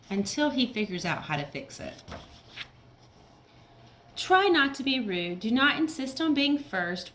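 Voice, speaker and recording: A woman's voice: medium pitch, fairly bright tone. Woman reading to young kids, background noise. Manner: simple child-directed phrasing with check-in questions. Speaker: teacher reading a picture book aloud to young children. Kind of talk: children's read-aloud with explanatory asides.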